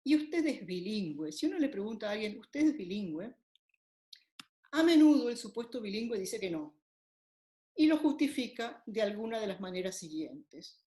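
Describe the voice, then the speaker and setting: A woman's voice: medium pitch, mellow. A woman linguistics lecturer giving a recorded academic lecture, explaining ideas step by step with occasional fillers. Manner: measured, explanatory, with frequent fillers. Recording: echoey room.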